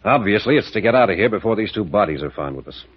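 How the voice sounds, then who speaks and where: Gruff, decisive radio-serial captain, low voice, brisk orders, old dull recording. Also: male voice, expressive. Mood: resolute, plotting. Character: tough, decisive captain and adventurer, gruff and commanding. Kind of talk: 1940s radio adventure acting.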